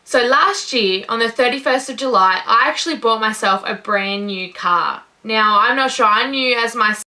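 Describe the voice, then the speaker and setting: Casting: nineteen-year-old Aussie woman, bright and sharp-toned, pitched a little high, chatty and enthusiastic. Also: normal speed. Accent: Australian. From Australia. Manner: upbeat, gushing, says "incredible" and "you know" often. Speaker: vlogger. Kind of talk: casual talk to camera.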